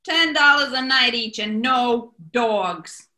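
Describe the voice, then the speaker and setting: A woman's voice: fairly high pitch, slow pace, sharp tone. An actress playing a brash, sardonic old New York landlady haggling over shabby rooms in a 1940s-style radio comedy. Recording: echoey room.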